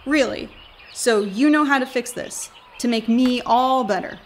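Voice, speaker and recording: A woman's voice: a little high, a little slow, a little hiss or hum, lightly acted. A woman playing a sarcastic, skeptical young tourist in a radio play. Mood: frustrated, weary. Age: young adult. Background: birdsong, woodland ambience.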